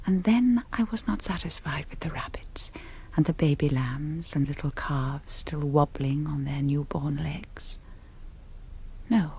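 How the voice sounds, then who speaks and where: Young vampire countess, lowish voice, breathy half-whisper, mournful and hungry, theatrical radio drama, slightly hissy recording. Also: female voice, darkish tone. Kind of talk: 1970s radio drama acting.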